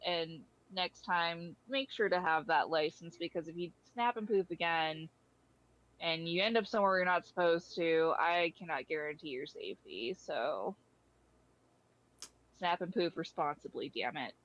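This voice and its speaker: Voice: mid-range voice, measured pace, a bit bright, muffled recording, lightly acted. A performer voicing an irritated, blunt personification of the void in an audio drama, speaking casually with sardonic exasperation. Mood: exasperated, lecturing. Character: irritated, foul-mouthed personified Void, a blasé homeowner. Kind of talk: audio drama character dialogue.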